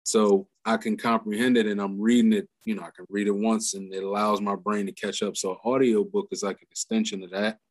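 A man talking casually as a podcast guest, halting with fillers and laughing often. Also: fairly low pitch, flat delivery.

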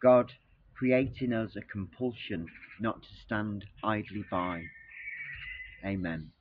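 A man leads a litany prayer in a solemn, measured way. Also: lowish voice. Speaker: prayer leader. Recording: tinny, phone-like line with faint hiss.

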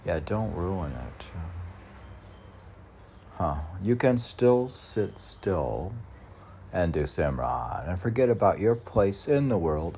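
A man's voice: sits low, a little mellow. A middle-aged man reading his own poetry, declaiming the verse and muttering off-the-cuff asides to himself. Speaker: poet. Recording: faint background noise.

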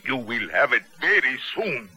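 An actor playing a cruel prison captain in a radio drama, slow and taunting. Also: male voice, medium pitch, big pitch swings, over loud music, natural voice. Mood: deferential, tense. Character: menacing prison captain, cruel interrogator, deferential to superiors. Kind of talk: 1970s radio drama acting. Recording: vintage radio broadcast.

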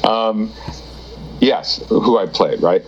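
A man with a very deep, low voice talks through his story in a loose, rambling way. He restarts sentences and fills pauses with "um" and "you know." The sound is dull and lo-fi. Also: slight background noise. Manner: rambling, restarts, many fillers. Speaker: guest, TV writer. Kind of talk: casual interview storytelling.

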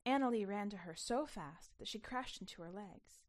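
A woman reading a short story aloud as an expressive, emphatic narrator with a sense of childlike wonder. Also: mid-range voice, normal speed.

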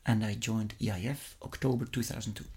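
A middle-aged man from Flemish-speaking Belgium, a native Dutch speaker with a Flemish accent, answering interview questions conversationally and somewhat haltingly. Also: lowish voice, fairly even intonation, a little mellow, husky. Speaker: interview guest, investment fund executive.

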